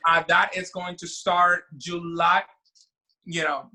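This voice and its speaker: A man's voice: high-pitched, little pitch movement, takes it slowly, sharp tone. A man, chatting as a guest in an interview, talkative with many fillers and restarts. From Venezuela. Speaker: drag queen and event producer. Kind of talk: casual interview chat.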